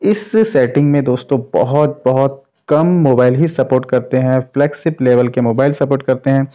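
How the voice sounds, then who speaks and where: A man's voice: medium pitch, steady tone, normal speed, mellow. A man giving casual, explanatory commentary as a tech reviewer, addressing viewers like friends. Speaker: tech reviewer, channel host. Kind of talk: casual phone gaming test commentary.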